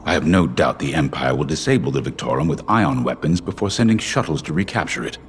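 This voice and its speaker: An actor playing a stern, measured rebel commander in a video game, giving clipped, formal and authoritative military orders. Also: male voice, rumbling low, lively intonation, slight background noise. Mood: urgent, grave. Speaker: squadron commander giving briefings. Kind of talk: scripted cinematic game acting. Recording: loud orchestral score behind. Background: cinematic music.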